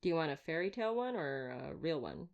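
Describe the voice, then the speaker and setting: A woman's voice: low-pitched, very animated, brisk, vocal fry, dull audio, heavily acted. A woman playing a wry, talkative vampire hunter in an intimate roleplay audio drama, glib and teasing with a caring side. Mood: soft, caring. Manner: glib, flirtatious, sardonic banter.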